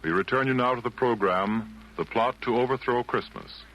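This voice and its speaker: A man announcing on 1940s radio in a formal, measured style. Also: mid-range voice. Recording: muffled, noisy vintage radio broadcast.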